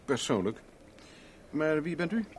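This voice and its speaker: An actor in a 1970s radio play playing a sardonic, casual private investigator with a dry manner. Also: male voice, a little high, sing-song, measured pace, a little hiss or hum. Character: Rex Carver, wry, unflappable male private investigator.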